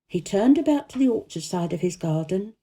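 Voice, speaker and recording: Mellow, expressive woman storyteller. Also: mid-range voice, brisk, breathy edge. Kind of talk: scripted children's audiobook narration.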